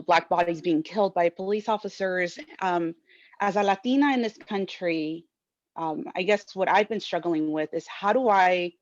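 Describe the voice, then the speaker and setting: A woman's voice: lowish voice, no echo, highs cut off. A woman from Guatemala asking a long, searching question at a talk, with many fillers and restarts. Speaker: audience member asking a question.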